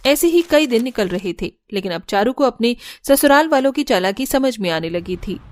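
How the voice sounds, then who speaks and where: High-voiced woman storyteller. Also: expressive, moderate pace. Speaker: story narrator. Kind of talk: scripted narration of a cartoon family story.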